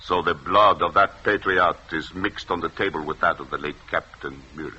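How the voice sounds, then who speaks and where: An actor playing a cool, commanding French resistance leader and doctor in a 1940s radio drama, speaking in a measured, formal way. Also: male voice, sits low, bright, forceful tone, husky, exaggerated voice. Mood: proud, scornful. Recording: muffled, noisy old radio recording.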